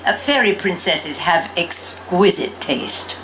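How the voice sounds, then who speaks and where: An exuberant little girl who believes she is a fairy princess, played by a woman reading a storybook aloud. Her pitch swoops widely and she takes her time, stretching key words theatrically. There is faint noise behind the voice. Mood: bright, self-assured. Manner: expressive, theatrical, drawn-out emphasis. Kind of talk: first-person storybook read-aloud.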